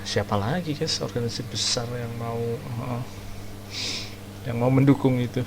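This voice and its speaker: A man's voice: mid-range voice, steady tone, moderate pace, background noise. A man with an Indonesian accent giving casual, slangy reaction commentary as a gaming streamer. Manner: slangy, informal. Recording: game music behind the voice.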